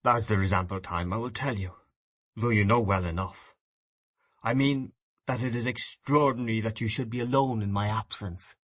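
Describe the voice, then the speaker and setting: A man's voice: lowish voice, normal speed, fairly bright tone. A man reading an audiobook voices an enraged, grief-stricken husband in a hard, accusing tone. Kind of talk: audiobook reading of a classic novel.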